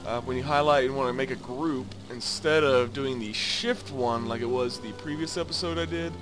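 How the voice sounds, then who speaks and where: A man's voice: medium pitch, normal speed. A man giving a casual, improvised game walkthrough as host of a gameplay video. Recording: game music loud under commentary. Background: loud game music.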